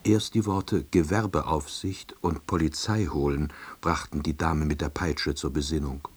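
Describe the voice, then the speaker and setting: Subdued middle-aged East German salesman, low pitch, rough-edged voice, deliberate, dry radio drama narration. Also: steady tone, heavily acted. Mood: dry, baffled. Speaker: narrator and lead character. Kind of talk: radio play first-person narration.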